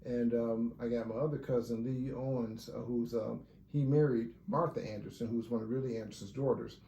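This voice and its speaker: Halting man with a warm voice. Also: medium pitch, fairly even intonation, vocal fry, slight background noise. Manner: halting, many fillers and restarts while recounting genealogy. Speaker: relative talking about family research. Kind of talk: casual conversation. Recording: echoey room.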